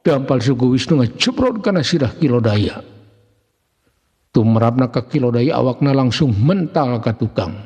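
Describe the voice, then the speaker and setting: A man with a Sundanese accent narrating a folk tale in a theatrical, expressive way, with a husky voice. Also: mid-range voice, moderate pace, lo-fi sound. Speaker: storyteller. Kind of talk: dramatic scripted narration of a folk mystery tale. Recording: long hall-like reverb.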